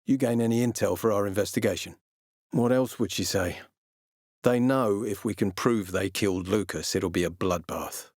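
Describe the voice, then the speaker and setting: Game role: gruff military commander, male actor, suspicious, curt and threatening, profane streak, recorded dry. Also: fairly low pitch, moderate pace, a little mellow, no room sound, close to an everyday voice. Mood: guarded warning. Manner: terse, menacing, sardonic, profane. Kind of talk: video game character dialogue.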